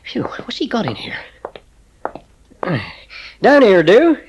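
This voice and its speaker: An actor playing a gruff, good-hearted working-class railway porter in a dramatised audiobook, colloquial and bashful in manner. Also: male voice, very high voice, sing-song, takes it slowly, muffled recording, a little hiss or hum, exaggerated voice. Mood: busy, friendly. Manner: colloquial, plain-spoken, bashful.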